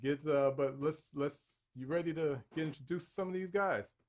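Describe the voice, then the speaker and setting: A man hosting a live dating game show, energetic and laughing as he hypes up the contestants. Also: a little high, normal speed.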